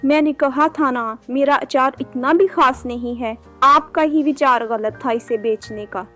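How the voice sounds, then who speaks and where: A woman's voice: high-pitched, unhurried, a bit bright. A woman voicing an anxious, practical farmer's wife in a Hindi village cartoon story. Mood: doubtful. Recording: background music under the voice.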